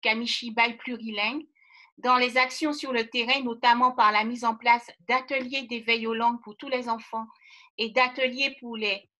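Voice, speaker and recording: A middle-aged woman on a video call from a room by a window, giving a formal prepared speech in measured, rhetorical phrasing. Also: fairly high pitch, fairly even intonation, sharp tone. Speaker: guest speaker. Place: indoor room by a bright window. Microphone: webcam on a video call.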